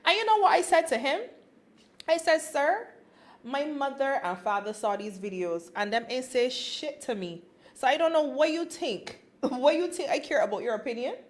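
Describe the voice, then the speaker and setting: A woman from Barbados with a Barbadian accent, co-hosting a podcast and telling a story with lively laughter. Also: high-pitched, moderate pace. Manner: animated storytelling, laughs often. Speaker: podcast co-host. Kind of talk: casual chat.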